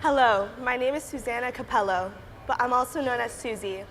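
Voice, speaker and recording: A woman's voice: on the high side, brisk, noisy background. An eighteen-year-old woman from Miami giving a friendly, slightly nervous prepared talk on a conference stage. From Miami, United States. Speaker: student entrepreneur speaker. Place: large conference hall stage. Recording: reverberant hall with faint ambience.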